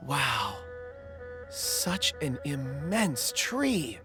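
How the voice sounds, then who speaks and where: A man's voice: mid-range voice, very animated, very slow. A man playing a mild, thoughtful wanderer in a video game, with a reflective, slightly hesitant way of talking. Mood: wondering. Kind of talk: video game character acting. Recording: music behind the voice.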